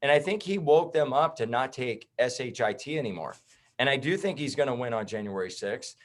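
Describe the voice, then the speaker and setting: A man's voice: mid-range voice, expressive, brisk. A man in his thirties, a chiropractor guesting on a podcast, talking bluntly and heatedly in casual chat. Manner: blunt, heated, swears and says bro.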